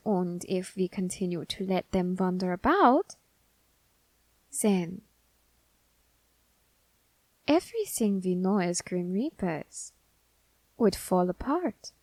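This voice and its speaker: A woman playing a scholarly, quietly menacing Grim Reaper in an ASMR roleplay, speaking in formal, deliberate phrases with pauses. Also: medium pitch, no room sound. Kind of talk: ASMR character roleplay audio drama.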